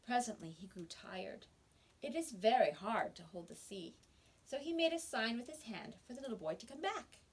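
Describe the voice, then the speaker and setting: Husky teacher reading to small children. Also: female voice, on the high side, normal speed. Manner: expressive, explains words for children. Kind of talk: storybook read-aloud for young children.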